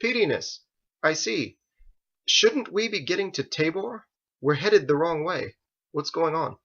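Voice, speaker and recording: A man's voice: fairly high pitch, animated, unhurried, fairly bright tone, lo-fi sound, pitched above the performer's own voice, heavily acted. A man voicing an anxious, indignant male squire who protests and pleads with his master in an audiobook story. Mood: puzzled, uneasy. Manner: exclaiming, incredulous, protesting.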